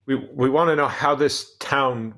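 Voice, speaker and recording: A man's voice: medium pitch, a little slow, sharp tone. A man playing a cautious, uneasy spaceship crewman in a comic radio play, stammering and hesitant. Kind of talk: cold-read comic radio sci-fi play.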